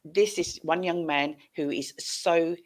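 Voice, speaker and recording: A woman's voice: on the low side, fairly even intonation, slow pace, a little mellow. A middle-aged British woman hosting an informal chat show over a video call on a headset. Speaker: host. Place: indoor room, video call.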